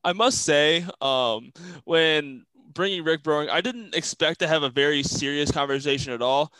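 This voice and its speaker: A man's voice: fairly high pitch, normal speed, a bit bright, clean tone, no room sound, poor audio. A man co-hosting a casual podcast, amused and chatty. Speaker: podcast co-host.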